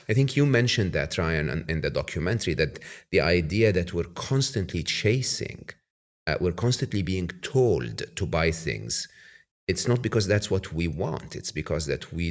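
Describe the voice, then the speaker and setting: Deep voiced guy from egypt hosting a podcast, thinks out loud, restarts a lot. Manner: thoughtful, hesitant restarts. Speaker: podcast host. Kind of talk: conversational interview. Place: bright room with plants. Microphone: podcast mic on an arm.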